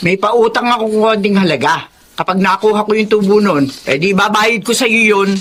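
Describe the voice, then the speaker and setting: A woman's voice: mid-range voice, brisk, a little hiss or hum, natural voice. A woman playing an indulgent but demanding middle-aged Filipino mother in a radio drama. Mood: coaxing. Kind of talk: Tagalog radio drama acting.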